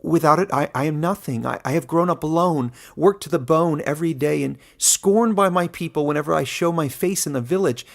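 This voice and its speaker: A man voicing a young outcast log splitter in a fantasy story, emotional and halting, bitter yet humble. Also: on the high side, vocal fry, voice pushed up. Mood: hurt, defiant. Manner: halting, emotional, stammering apologies and protests.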